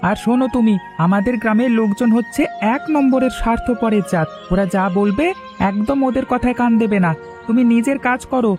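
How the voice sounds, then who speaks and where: A woman's voice: on the high side, brisk, music in the background, natural voice. Woman playing a blunt, defiant village oddball man. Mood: assuring. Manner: blunt, indignant, protective. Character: defiant, kind-hearted village man thought mad. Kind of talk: cartoon voice acting.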